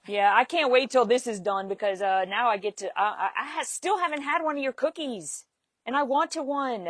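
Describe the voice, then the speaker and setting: A woman's voice: a little high, fairly quick, dry recording. Chatty woman teaching a sewing project. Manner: chatty, laughs easily, talks while working. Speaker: sewing instructor. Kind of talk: live-stream sewing demonstration.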